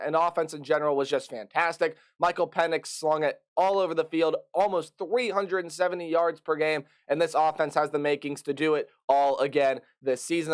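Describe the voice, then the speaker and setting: A young man hosting a college football prediction video, his voice a bit hoarse as he gets over a cold, chatting upbeat through player names and stats. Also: fairly high pitch. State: hoarse, getting over an illness. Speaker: sports prediction channel host. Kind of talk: casual college football preview.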